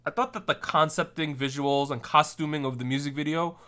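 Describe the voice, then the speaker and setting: Host, easygoing and jokey, slightly high male voice. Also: brisk. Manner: relaxed, joking, leads the discussion. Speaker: podcast host. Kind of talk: casual chat.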